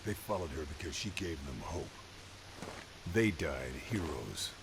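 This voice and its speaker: An actor playing a stoic, grim Allied soldier in a war video game, speaking tersely and deadpan in a gravelly voice. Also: male voice, a little slow, background noise, exaggerated voice. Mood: grim defiance. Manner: terse, deadpan, low-key. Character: stoic, grim male Allied soldier, a lone wartime operative. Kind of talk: video game protagonist acting.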